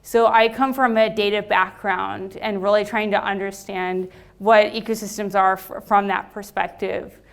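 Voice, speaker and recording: Smooth-voiced American woman giving a talk. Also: medium pitch. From Los Angeles. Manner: hesitant, frequent ums and restarts. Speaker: meetup speaker. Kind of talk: live talk to an audience. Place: meetup venue with seated audience.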